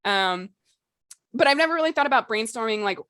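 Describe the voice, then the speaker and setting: A woman's voice: a little high, animated, brisk, fairly bright tone, no room sound. Casual woman podcaster, dry close sound. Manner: informal, hedging with frequent "like" and "you know". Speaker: podcast co-host. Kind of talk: casual instructional chat about fiction writing.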